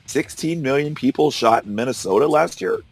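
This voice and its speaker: A hoarse man hosting a casual political discussion, arguing and incredulous. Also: a little high, expressive, fairly quick, soft background music. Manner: argumentative, incredulous, laughs often. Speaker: podcast host. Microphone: call recorder.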